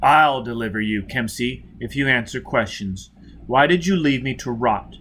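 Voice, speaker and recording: Male reader as hard avenging spaceman, forceful, taunting, blunt interrogation, mid-pitched, sci-fi storybook villainy. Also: normal speed, a little hiss or hum, natural voice. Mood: relentless questioning. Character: vengeful, merciless male spaceman interrogating his captive.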